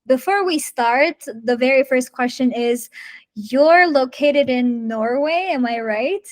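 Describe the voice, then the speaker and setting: Brief: slightly high-voiced woman host, Armenian native speaker, chatty and friendly. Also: slow. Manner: friendly and chatty, laughs often. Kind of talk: casual video-call interview.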